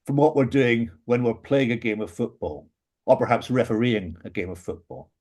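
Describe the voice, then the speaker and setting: A man with a British English accent giving a prepared philosophy talk as a panelist, measured and lecturing, with dry wry asides and emphatic rises on key points. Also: mid-range voice, warm tone. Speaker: panelist in an academic book discussion.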